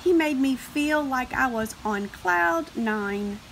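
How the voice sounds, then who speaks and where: A woman voicing chatty, sentimental cartoon counting numbers from a picture book, earnest and easily excited. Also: sits high, slow pace, gravelly, slight background noise, pitched above the performer's own voice, exaggerated voice, rough recording. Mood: wistful.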